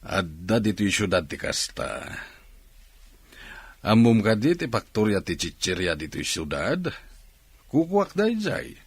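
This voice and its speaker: A man's voice: fairly low pitch, very animated, measured pace, pauses often, husky, no echo, full character voice. An actor playing a persuasive, assertive factory recruiter in a radio drama, coaxing as he talks. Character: persuasive grown man recruiting village youths for factory work.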